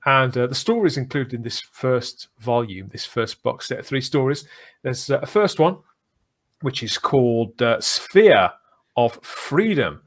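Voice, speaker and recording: A man's voice: medium pitch, expressive, unhurried. A man hosting a casual fan podcast, talking in a conversational way, often rephrasing himself and checking for agreement. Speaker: podcast host.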